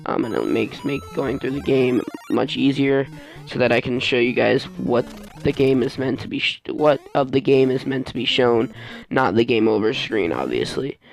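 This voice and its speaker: Creaky-voiced man doing game commentary. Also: medium pitch, rough recording. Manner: rambling, halting, often losing his train of thought. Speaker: gaming video host. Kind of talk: casual let's play voice-over commentary.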